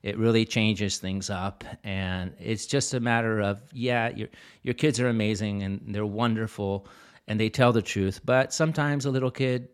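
Deep-voiced man, calm and reflective. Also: moderate pace, clear, resonant tone. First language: Italian. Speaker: dean of students, guest. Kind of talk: casual interview talk.

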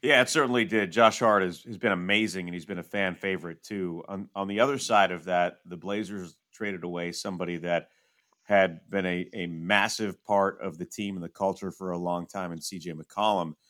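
Deep-voiced man hosting a sports interview. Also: steady tone, normal speed, fairly bright tone, no echo, clean studio sound. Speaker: sports podcast host, interviewer.

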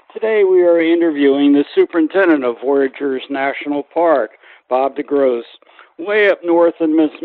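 A man's voice: mid-range voice, no room sound. Male radio interviewer, thin phone-like sound. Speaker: radio program host and interviewer.

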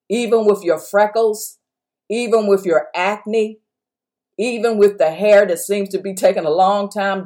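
A woman preaching with a strong, full voice at an ordinary pitch. She exhorts her listeners and drives each point home by repeating it. Her pace runs slow, then quick. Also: mellow. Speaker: pastor. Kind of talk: sermon podcast.

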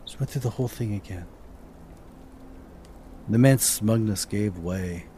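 A man's voice: fairly low pitch, unhurried, warm tone, a little hiss or hum. A man co-hosting a storytelling podcast in an informal, campfire style with a theatrical touch.

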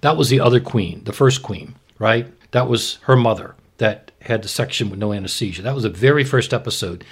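A man's voice: lowish voice, animated, fairly quick, hardly any pauses, breathy edge. A man co-hosting a podcast, telling things in a loose, rambling, conversational way and repeating himself. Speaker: podcast co-host. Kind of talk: casual conversational storytelling.

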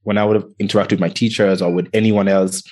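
A man's voice: lowish voice, little pitch movement, fast talker, darkish tone. A young man from Antigua, a podcast guest telling his life story in a reflective way, often chuckling. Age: young adult. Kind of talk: casual interview storytelling.

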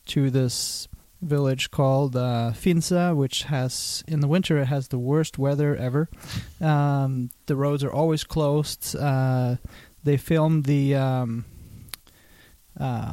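A man's voice: fairly high pitch, dry recording. A man in his thirties from Norway, a native Norwegian speaker, talking casually as a podcast guest with many fillers. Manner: relaxed, hesitant, many fillers. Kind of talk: casual interview talk.

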